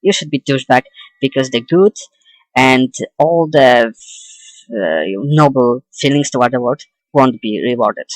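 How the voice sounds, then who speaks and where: A young adult man giving an opinionated, informal video essay as a game commentator, halting and correcting himself as he goes. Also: mid-range voice, no echo, poor audio. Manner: hesitant, halting delivery with self-corrections.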